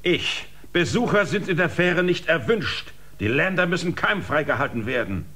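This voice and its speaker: An actor playing a stern, threatening spaceship commander in a sci-fi radio drama, husky and commanding. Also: male voice, fairly high pitch, animated, moderate pace, pushed and bright. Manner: commanding, imperious.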